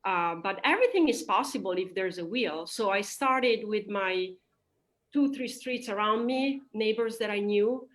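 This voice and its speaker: A woman whose first language is Italian, a real estate agent answering interview questions in a chatty way, with fillers and quick laughs. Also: mid-range voice, expressive, fairly bright tone, rough recording.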